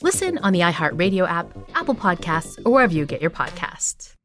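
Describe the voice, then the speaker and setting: A woman's voice: on the low side, sing-song, a little fast, a bit bright. A woman podcast host reading a scripted promo with music behind her.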